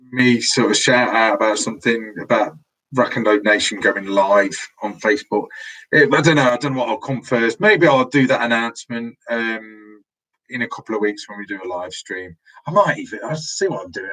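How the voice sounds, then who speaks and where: A man's voice: fairly low pitch, fairly bright tone, low-quality recording. A man hosting a casual live stream from his room at home, losing his voice, rambling and restarting his sentences, heard through a laptop mic. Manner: rambling, restarts often, chatty.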